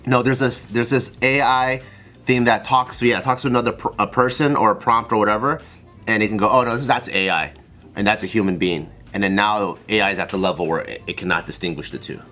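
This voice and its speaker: A man's voice: fairly low pitch, steady tone, fast, pushed and bright. A man chatting casually as part of a group. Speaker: panelist on a comedy talk show. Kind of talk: casual chat.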